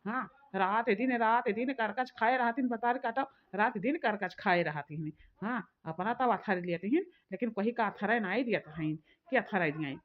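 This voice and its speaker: Woman acting a defiant cartoon village wife, medium pitch, Awadhi accent, combative repetitive grumbling, muffled lo-fi sound. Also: unhurried, few pauses, close to an everyday voice. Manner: combative, grumbling, repeats phrases. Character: defiant, hot-tempered village sister-in-law who refuses chores. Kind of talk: rustic cartoon comedy dialogue. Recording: dull lo-fi sound.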